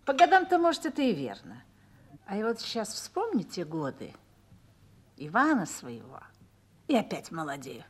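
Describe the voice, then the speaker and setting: An actress playing a calm, motherly older army wife in a Russian radio drama, speaking in a soothing, patient way. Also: mid-range voice, moderate pace, long pauses, slightly rough. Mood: warm, reminiscing.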